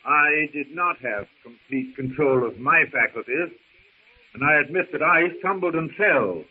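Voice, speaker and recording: An actor playing a prim, wordy old academic in a 1930s radio serial, with pompous, formal diction and an easily offended temper. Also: male voice, a little high, lively intonation, a little slow, slightly rough. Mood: halting, earnest. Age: older. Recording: noisy, tinny old radio recording.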